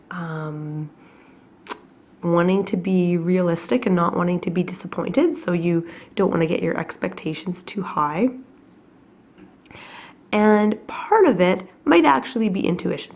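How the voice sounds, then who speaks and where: Husky, low-voiced woman with a cold. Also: darkish tone. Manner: chatty and reflective, with many fillers. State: has a cold, coughing. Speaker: tarot reader. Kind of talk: casual weekly tarot reading to camera. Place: at home.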